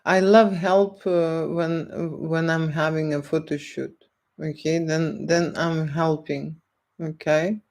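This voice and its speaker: Slow-speaking Lithuanian-accented woman. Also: sits low, fairly even intonation, a little mellow. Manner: deliberate, keeps checking in with "okay?". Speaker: erotic photographer. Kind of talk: street interview answers.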